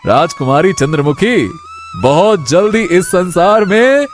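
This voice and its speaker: A man's voice: high voice, exaggerated voice. A man playing a jubilant, generous king in a cartoon story, speaking in an exuberant, effusive manner. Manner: exuberant, effusive, frequent laughter. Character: jubilant, generous king and proud expectant father. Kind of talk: Hindi cartoon story acting.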